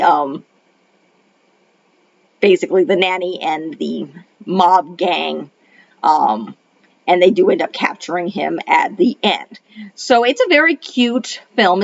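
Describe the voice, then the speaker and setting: A woman's voice: fairly low pitch, creaky, no echo. A middle-aged woman, a retired librarian and homeschool mom, rambles through a casual film review at home while her voice is still thrown off by a cold. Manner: rambling, many ums and restarts. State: recovering from a cold, voice a little off. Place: home in Arizona. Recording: dull lo-fi audio.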